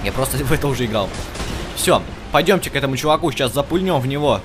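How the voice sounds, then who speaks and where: A man's voice: mid-range voice, lively intonation, fast talker. Chatty man doing casual gameplay commentary over music. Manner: chatty, talks to game characters and viewers. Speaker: gaming video host. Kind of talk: casual let's play commentary.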